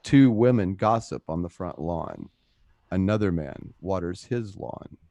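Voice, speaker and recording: A man's voice: sits low, lively intonation, measured pace, mellow, dry recording. Dry, close-miked male narrator. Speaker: narrator reading stage directions. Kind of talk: scripted radio play narration. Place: at home, close dry microphone.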